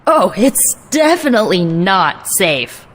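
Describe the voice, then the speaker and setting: A woman's voice: mid-range voice, very animated, slow. A woman voicing a brash, sly young sorceress in a video game, casual and persuasive, with sardonic delivery. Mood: wry, frank. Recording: music bed behind the voice.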